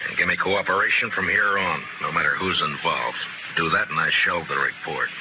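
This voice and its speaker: A man's voice: sits low, a little fast, close to an everyday voice. An actor playing a stern, unbending American lawman in an old radio western, terse, firm and dryly sardonic. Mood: commanding. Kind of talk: 1950s radio western acting. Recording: muffled, noisy old radio recording.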